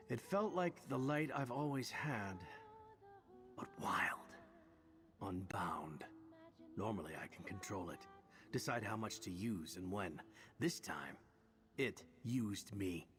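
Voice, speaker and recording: An actor playing a roguish, sincere adventurer in a video game, wry and a little haunted. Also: male voice, on the low side, animated, slow, pauses often, fairly bright tone, faint music behind. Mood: troubled. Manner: wry banter turning earnest and reflective.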